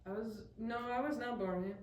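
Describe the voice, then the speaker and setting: A woman's voice: fairly high pitch, a little fast. A young American woman from Wisconsin, trading informal, joking remarks in casual podcast banter. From Wisconsin, USA. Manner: informal, joking, short interjections. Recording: roomy, distant mic, faint background noise.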